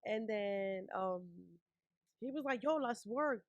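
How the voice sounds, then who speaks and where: A woman's voice: a little high, slow pace, dry recording, highs cut off. A young woman rapper from Puerto Rico, chatting in a laid-back, slangy way with lots of fillers. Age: young adult. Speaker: rapper guest. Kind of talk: casual interview chat.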